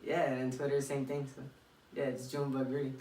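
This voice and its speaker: Young boxer in an echoey, distant recording. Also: male voice, mid-range voice, completely flat delivery, brisk, darkish tone. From Miami, United States. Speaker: professional boxer being interviewed. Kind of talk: casual interview chat. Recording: echoey room, distant mic, faint noise.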